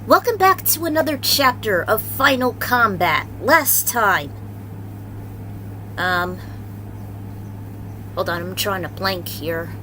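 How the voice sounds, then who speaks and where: A woman hosts a story series in an informal, unpolished manner. She takes her time with the words, talking casually as she recaps and reads aloud. Clear noise runs behind her mid-pitched voice. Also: big pitch swings, slow pace, pauses often. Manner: informal, unpolished, swears freely. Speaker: host and reader of own fan fiction series.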